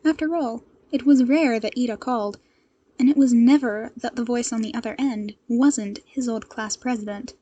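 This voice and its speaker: High, mellow, husky woman narrator. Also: fairly even intonation, normal speed, dull audio. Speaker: fan fiction narrator. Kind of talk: expressive scripted story narration.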